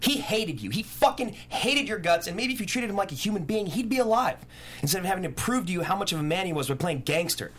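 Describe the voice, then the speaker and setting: A man's voice: sits high, very animated, quick pace, a bit bright. A man at a table read playing a blunt, troubled teenage boy who captains a surf team, curt and slangy. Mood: bitter anger.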